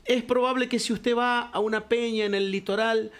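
Older man, high voice, storytelling radio columnist who says compadre. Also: steady tone, moderate pace. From Cuyo, Argentina. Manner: warm storytelling, addresses listener as compadre. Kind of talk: reflective spoken radio column.

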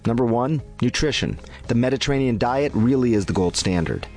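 A man presenting a scripted radio health segment in a clear, measured way. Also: mid-range voice, expressive, darkish tone, faint music behind. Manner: clear, measured explanatory delivery.

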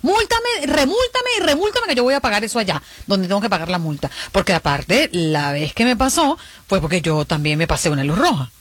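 A woman's voice: mid-range voice, animated, brisk, few pauses, dry recording. A Venezuelan woman co-hosting a morning show, trading playful banter with colleagues, laughing often, then reading news headlines in a broadcast studio.

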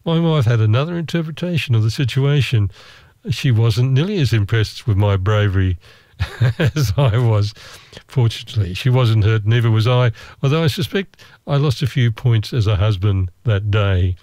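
A man telling a travel story in a wry, self-mocking, measured way. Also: medium pitch, dark tone, no echo, studio quality. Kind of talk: casual storytelling.

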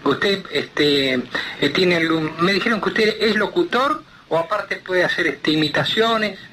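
Argentine guy on a phone call, rambling, bright voice on the high side, some noise. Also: measured pace. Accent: Argentine, Rioplatense. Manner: rambling, hesitant phrasing. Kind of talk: casual phone conversation.

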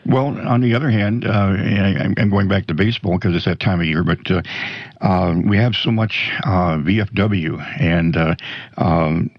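Very deep-voiced veteran radio host. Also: male voice, dry recording. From South Dakota, United States. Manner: slow, meandering, folksy, joking. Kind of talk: casual radio interview. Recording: dull lo-fi radio studio audio.

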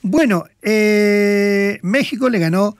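A man chatting casually about football results on a talk show, rambling with fillers and pauses. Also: sits high, clear, resonant tone, no room sound, studio quality. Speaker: sports commentator on a talk show.

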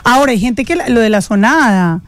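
A woman co-hosting a chat show, expressive and quick to exclaim as she tells anecdotes. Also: a little high, no room sound. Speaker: co-host.

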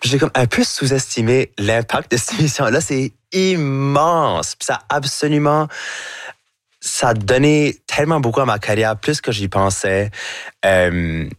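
A man's voice: medium pitch, animated, a little slow, no echo. A young man with an Acadian French accent, speaking as a guest in a studio interview, rambling loosely and slipping in English words. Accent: Acadian French with chiac. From Acadia, Canada. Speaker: guest, performer. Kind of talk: casual interview chat. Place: radio studio. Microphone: studio microphone.

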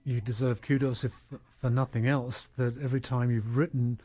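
A man's voice: medium pitch, little pitch movement, moderate pace, dark tone, husky. A man interviewing a writer, asking encouraging questions that often end in tag questions.

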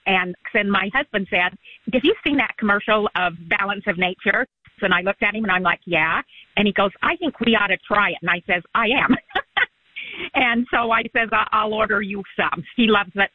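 A woman's voice: medium pitch, slightly rough, dry recording. A folksy woman chats colloquially through a customer testimonial. Her voice comes dry and tinny through a telephone line. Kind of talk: casual anecdotal testimonial.

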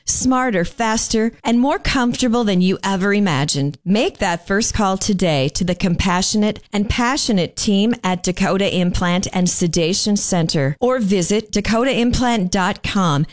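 A woman's voice: medium pitch, normal speed, hardly any pauses. A woman reading a scripted commercial in an emotive sales voice. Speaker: ad voice-over.

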